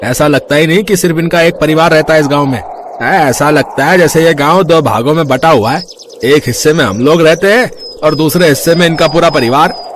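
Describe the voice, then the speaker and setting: A man's voice: fairly high pitch, fairly quick, background noise, close to an everyday voice. A man playing a chatty, gossiping village man in acted story dialogue. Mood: impressed gossip.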